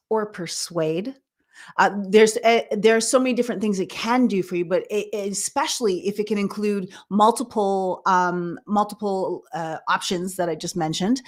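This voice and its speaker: A woman from Canada, a brand strategist guest on a live podcast stream, chatting in a bubbly way and laughing often. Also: medium pitch. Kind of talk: casual live-stream conversation.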